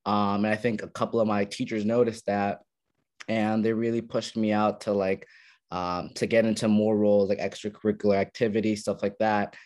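A man's voice: lowish voice, level tone, normal speed, darkish tone. A young man from Ethiopia, a podcast guest casually telling his story from his college dorm with many fillers. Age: young adult. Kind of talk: casual interview chat.

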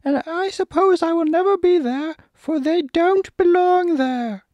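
A man's voice: very high-pitched, a little slow, no echo, dull audio, pitched above the performer's own voice. A man voicing a courteous but firm monkey king in a storybook reading. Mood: firm, apologetic.

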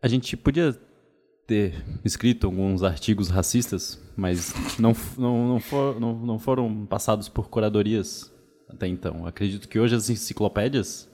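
A man's voice: fairly low pitch, normal speed, warm tone. A man playing a pompous 1800s encyclopedia salesman. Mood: boastful. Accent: Brazilian. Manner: boastful, stammering fake-British salesman patter. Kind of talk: improv comedy game.

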